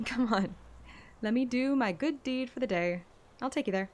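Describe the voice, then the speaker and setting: A woman's voice: a little high, moderate pace, natural voice. An actress playing a playful, plucky young woman hunter, narrating and voicing a first-person game story expressively. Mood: playful, teasing. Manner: expressive, teasing. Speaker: player-character narrator. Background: background music.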